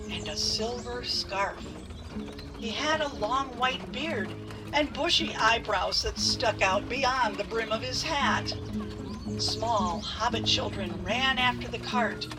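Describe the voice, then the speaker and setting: A woman's voice: fairly high pitch, rough recording. A woman narrating an audio drama in a scripted storybook style. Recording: loud music behind the voice.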